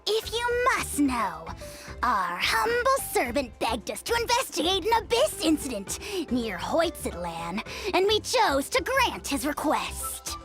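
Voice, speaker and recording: Pompous, high-pitched little dragon lord. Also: female voice, wildly swooping pitch, measured pace, over music, exaggerated voice. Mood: condescending. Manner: pompous, grandiose royal "we". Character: tiny pompous dragon lord, arrogant, haughty, quick to anger. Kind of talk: theatrical fantasy game acting.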